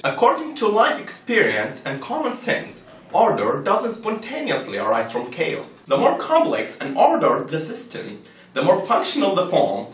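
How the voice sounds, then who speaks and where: Casting: man to play a composed believer in a dramatized debate; firm, explanatory, animated pitch, a little off-mic. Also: recorded from a distance. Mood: patient, firm. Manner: didactic, question-and-answer reasoning. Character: composed, insistent religious believer patiently arguing for design. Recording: roomy echo, off-mic.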